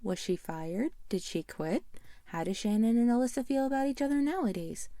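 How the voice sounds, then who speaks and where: A woman with a mellow, relaxed voice talks through a video essay in an informal, chatty way. She sounds self-effacing and conversational, recorded close and very dry with no room around her. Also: on the high side, normal speed, no room sound. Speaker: video creator and host.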